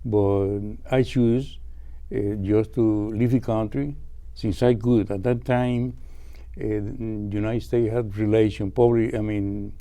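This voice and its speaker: A man's voice: fairly low pitch, fairly even intonation, slow, dark tone. An older man from Cuba with a Cuban Spanish accent. He is a guitarist answering interview questions politely and stops often to find his English words. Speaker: classical guitarist guest.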